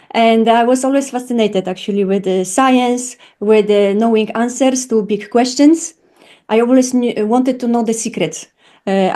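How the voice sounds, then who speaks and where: A woman's voice: on the high side, dark tone. A Polish woman in her thirties with a Polish accent, a guest on a call-in interview, speaking thoughtfully with many fillers. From Poland. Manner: thoughtful, lots of "uh" fillers, earnest. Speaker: guest, neuroscientist. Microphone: remote call.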